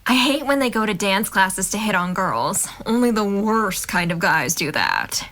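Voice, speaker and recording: A woman's voice: mid-range voice, sing-song, measured pace, a bit bright. A woman acting an outgoing, curious young college student in an audio drama, playful, breezy and direct. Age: young adult.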